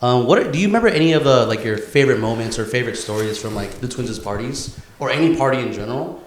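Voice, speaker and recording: A man's voice: medium pitch, lively intonation, quick pace. A young man co-hosting a casual podcast, chatting off the cuff in a crude, profane, joking way. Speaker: podcast co-host. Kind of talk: casual, unscripted podcast banter. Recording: echoey room with faint noise.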